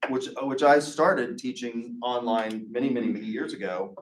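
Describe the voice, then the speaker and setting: A middle-aged man lectures a marketing class in a loose, conversational way. He rambles through asides and restarts. His voice sits at an ordinary middle pitch. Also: steady tone, normal speed, a little hiss or hum, low-quality recording. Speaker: marketing professor.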